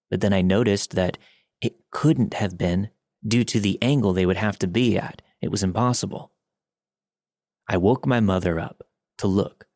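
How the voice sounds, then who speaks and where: A man's voice: fairly low pitch, a little mellow, no room sound, studio quality. A man reading a scripted first-person scary story as a podcast narrator.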